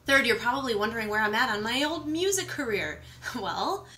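A woman in her thirties talking casually to camera in a vlog, with an animated, playful and candid manner. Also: a little high, brisk. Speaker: vlogger and musician. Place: small home kitchen, indoors.

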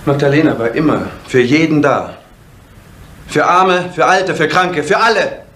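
An actor playing a wounded, defiant and fiercely loyal young son in a vintage German crime drama. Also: male voice, on the high side, a little slow, fairly bright tone. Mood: defiant, hurt. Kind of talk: 1970s German television crime drama acting. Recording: echoey room, faint noise.

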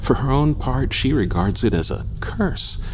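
An actor playing an unctuous, eccentric asylum doctor in a gothic radio drama, speaking with formal, theatrical courtesy. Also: male voice, mid-range voice, animated, unhurried, slight background noise, lightly acted. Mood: grandly welcoming.

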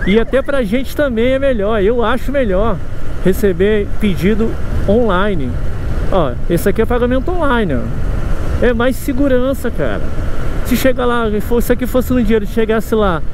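A man with a Rio de Janeiro accent talks to his viewers while riding through city traffic, venting in a loose, chatty way. His voice sits high for a man. Steady road and engine noise runs under it from a helmet-mounted mic. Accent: Carioca Brazilian Portuguese. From Brazil. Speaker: motorcycle delivery courier vlogger. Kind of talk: casual talk to camera while riding. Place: riding a motorcycle on city roads outdoors.

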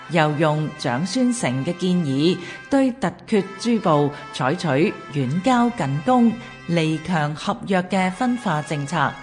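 A woman narrates in Hong Kong Cantonese with a low voice and slow pace. Her delivery is formal and measured, like a scripted documentary, with faint music behind her. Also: a little mellow. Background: background music.

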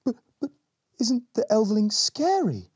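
A man's voice: very high-pitched, huge pitch swings, measured pace, a little mellow, slightly rough, no echo, clean studio sound, voice pushed up, heavily acted. A man voicing a timid, fearful young elf boy from a storybook, with a hesitant stammer. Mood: frightened.

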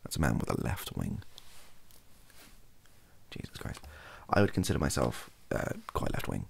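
A young British man, softly spoken, rambling hesitantly through an opinion monologue in a gravelly, husky voice and often trailing off. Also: very deep voice, very animated, moderate pace. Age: young adult. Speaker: ASMR YouTuber. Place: small home room, indoors.

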